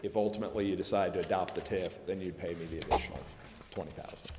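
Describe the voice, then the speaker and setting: A man's voice: low-pitched, steady tone, quick pace. A man speaking as a lawyer advising a public meeting, explaining informally in a plain-spoken, discursive, self-deprecating way. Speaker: lawyer advising a city council. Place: indoor council chamber. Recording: echoey hall with long reverb, background noise.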